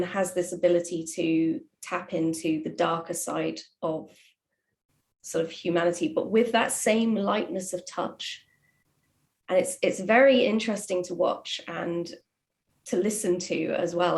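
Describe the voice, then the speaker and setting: A woman talking reflectively in a casual panel chat, thoughtful, with measured phrases and pauses. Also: fairly low pitch, gravelly. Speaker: writer on a panel.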